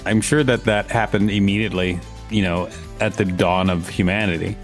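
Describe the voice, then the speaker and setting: A man with a fairly low voice hosts a podcast. He moves between reading reference text aloud and chatting about it casually. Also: steady tone, normal speed, soft background music. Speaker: podcast host. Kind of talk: reading encyclopedia pages aloud with casual commentary.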